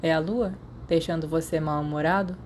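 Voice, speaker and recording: A woman's voice: low voice, steady tone, brisk, a little mellow, lightly acted. Woman reading as a cocky Brazilian teenage boy, noisy recording. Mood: teasing. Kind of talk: acted storybook dialogue.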